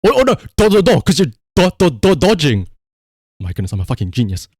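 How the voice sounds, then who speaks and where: A man's voice: fairly high pitch, huge pitch swings, fairly quick, a little mellow, slightly rough, no echo, studio quality. A man doing casual live game-stream commentary, swearing freely and repeating short command words.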